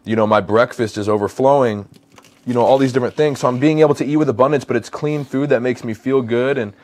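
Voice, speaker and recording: A man's voice: mid-range voice, quick pace, darkish tone. American male, 30s, lifestyle vlogger. Conversational, mid-range voice, repeats phrases to stress them. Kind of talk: casual vlog chat while cooking. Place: home kitchen, indoors.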